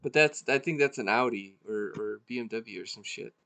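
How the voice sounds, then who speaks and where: A man born in Serbia, co-hosting a podcast in a relaxed, casual chat, swearing freely and trailing off mid-thought. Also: fairly low pitch, moderate pace, creaky. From Serbia (former Yugoslavia). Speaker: podcast co-host.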